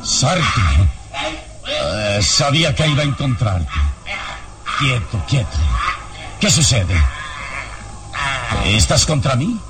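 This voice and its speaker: An actor playing a brave, calm, protective adventure hero in a vintage radio serial, with a solemn, deliberate delivery. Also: male voice, medium pitch. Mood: urgent, soothing. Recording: radio serial, distant, music behind.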